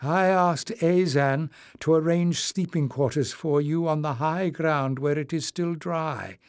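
A man's voice: a little high, a little slow, a little mellow, clear, resonant tone, dry recording, clean studio sound, voice pushed up. A man voicing a gracious, joyful woman leader with an earnest manner in an audiobook. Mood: caring.

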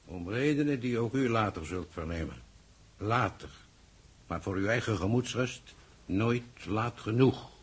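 An actor playing a grave, secretive, authoritative government minister in a 1960s Dutch radio play, speaking formally and with measure. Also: male voice, fairly low pitch, animated, unhurried, breathy edge, exaggerated voice. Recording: old 1960s radio drama recording.

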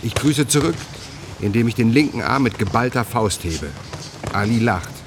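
A man plays a reporter narrating a radio drama in lyrical, reverent prose. His mid-pitched voice sits against clear outdoor ambient noise. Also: animated, normal speed, natural voice. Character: reverent male reporter recounting a scene, lyrical.

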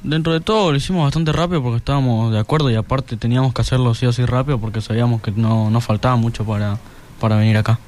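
A man's voice: mid-range voice, steady tone, fast, a little mellow. A teenage boy with an Argentine accent answering casually as a student guest in a radio interview, full of verbal fillers. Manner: informal, filler-heavy.

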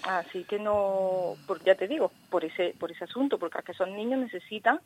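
A woman's voice: mid-range voice, normal speed, a little mellow, poor audio. A woman speaking spontaneously in a phone interview, earnest and insistent, often repeating herself. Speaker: school parents' association member interviewed by phone.

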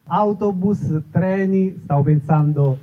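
A man's voice: high voice, slow, a little hiss or hum. A man hosting an informal live interview, asking questions in a conversational way. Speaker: interviewer, event host. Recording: thin phone-like live event sound.

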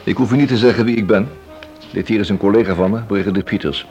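A man playing a firm, formal police inspector in a Dutch radio drama, speaking in a measured, matter-of-fact official way. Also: fairly low pitch, a little mellow, faint music behind, close to an everyday voice. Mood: stern, official. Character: businesslike male police inspector making an arrest, firm and formal. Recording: dull, lo-fi radio drama recording.

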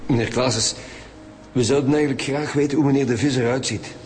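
A man with a Flemish accent playing a gruff, impatient chief police detective in a radio drama. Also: mid-range voice, steady tone, a little fast, darkish tone, lightly acted, poor audio. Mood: businesslike. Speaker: actor in a radio crime serial.

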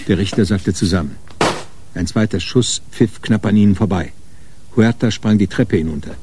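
A man narrating a radio crime drama from a script in a measured, sober way. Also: fairly low pitch, noisy background.

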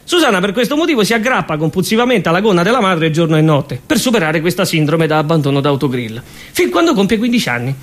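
A man, a comic stage performer delivering scripted storytelling in a deadpan, emphatic and repetitive way. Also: high-pitched, fast talker.

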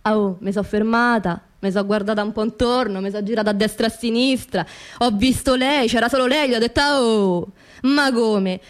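A woman with a high voice and a Roman accent tells a story as a theatrical radio monologue. Her language is colloquial and earthy, and she leans on words by saying them again and again. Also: fairly even intonation, fairly quick. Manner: emphatic repetition of words and phrases. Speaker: stage actress performing her own monologue.

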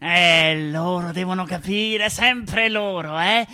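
A woman's voice: fairly low pitch, fairly even intonation, takes it slowly. A woman acting a mocking, cruel inner voice that accuses insistently and repetitively in a theatrical radio monologue. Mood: accusing. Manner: insistent, repetitive, swinging from whisper to shout.

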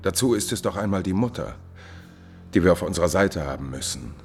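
Deep, scheming courtier. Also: male voice, expressive, fairly quick, soft background music. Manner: suave, calculating, mocking formal courtesy. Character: scheming, smooth male court chamberlain and marquis. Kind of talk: mid-century German radio drama acting.

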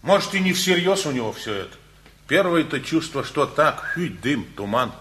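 An actor playing a blunt, henpecked working-class husband in a radio play, grumbling and joking in a colloquial way. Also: male voice, on the high side, very animated, moderate pace, a bit bright, slight background noise. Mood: placating.

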